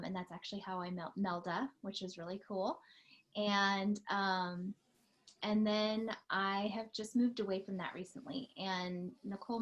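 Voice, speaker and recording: Chatty earnest woman, middle pitch. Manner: earnest and chatty, warm-spoken with fillers. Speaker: podcast co-host. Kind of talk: casual chat.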